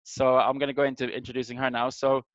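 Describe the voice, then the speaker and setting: A man's voice: medium pitch, little pitch movement, fast talker, dry recording. A middle-aged man from Sweden informally hosting an online group meeting, searching for his words as he welcomes and introduces people on a video call. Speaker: host of an online community meeting. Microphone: video call microphone. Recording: dull, lo-fi video call audio.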